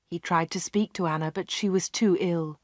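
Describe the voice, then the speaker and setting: Female narrator, clear measured storybook reading, dry close sound. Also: on the low side, steady tone, no room sound. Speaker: audiobook narrator. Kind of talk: scripted story narration for English learners.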